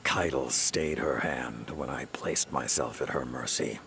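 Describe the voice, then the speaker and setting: An actor playing a grave, honor-bound old warrior and mentor in a video game, gravelly, solemn and measured. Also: male voice, extremely low pitch, a little hiss or hum, heavily acted. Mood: solemn resolve. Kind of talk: dramatic video game cutscene acting. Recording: loud music under the voice. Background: music.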